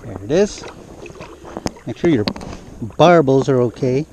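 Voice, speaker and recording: Man vlogging outdoors, clear background noise. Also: medium pitch, animated, a little slow. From central California. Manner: informal, chatty, talks to the fish. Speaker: fishing vlogger. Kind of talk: casual narration to camera while fishing. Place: outdoors on a lake shore. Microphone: action camera worn on the body. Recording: clearly noisy outdoor action-camera audio, wind.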